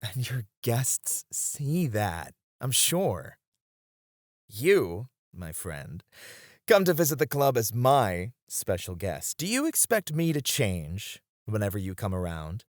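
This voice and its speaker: Man acting as supportive club host, flirty, gentle, lively swooping pitch, close dry sound, ASMR roleplay. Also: slow pace, dry recording. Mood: gentle, encouraging. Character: flirty, supportive male club host comforting his friends.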